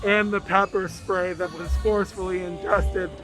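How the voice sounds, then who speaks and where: A hoarse man, a protester, recounting what happened in an earnest interview outdoors on a city street. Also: high-pitched, steady tone, normal speed, over music. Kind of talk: interview testimony.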